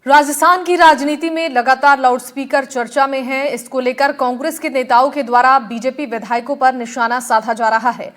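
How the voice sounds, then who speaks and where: A woman's voice: sits high, fairly even intonation, normal speed, few pauses, a bit bright. A woman presenting news in a scripted reading style.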